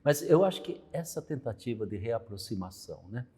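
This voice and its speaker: A man's voice: mid-range voice, very animated, fairly quick, mellow, breathy edge. An older man from Brazil, a priest, talking in a reflective conversational way, often ending phrases with a questioning aside. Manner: reflective, tells memories, frequent "né?". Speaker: priest and show host. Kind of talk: conversational religious talk. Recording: long hall reverb.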